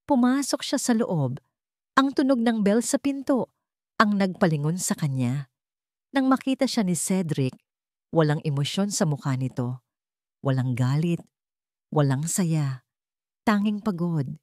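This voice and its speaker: Lowish-voiced woman narrator, highly expressive pitch. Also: measured pace, pauses often, no echo, clean studio sound. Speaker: story narrator. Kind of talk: scripted dramatic story narration.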